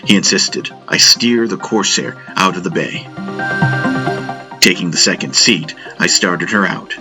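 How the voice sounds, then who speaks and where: Deep-voiced man narrating over music. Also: animated, measured pace. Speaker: audiobook narrator. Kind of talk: scripted first-person story narration.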